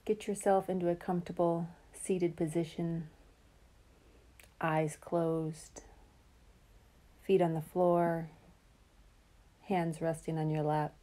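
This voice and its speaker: A woman guiding a relaxation meditation in a calm, soothing, unhurried way, leaving long pauses between phrases. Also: on the low side. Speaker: meditation guide.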